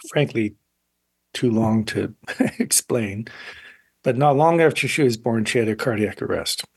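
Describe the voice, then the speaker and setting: A man in his sixties, an artist interviewed on radio, speaking in a thoughtful, halting way and often pausing to find words. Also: mid-range voice, slightly rough. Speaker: painter, interview guest. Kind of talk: reflective interview talk.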